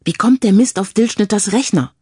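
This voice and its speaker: A woman's voice: mid-range voice, moderate pace, darkish tone, slightly rough, no echo, studio quality. Woman as curious, questioning male IT colleague. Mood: baffled. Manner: questioning, thoughtful. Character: curious, astonished man, an IT colleague asking probing questions. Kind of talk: audiobook character dialogue.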